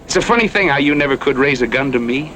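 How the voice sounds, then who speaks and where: An actor playing a cocky, easygoing gunslinger with a folksy American Western drawl in a radio drama. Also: male voice, medium pitch, lively intonation, fast, bright, forceful tone. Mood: taunting, incredulous. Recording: noisy old radio drama recording.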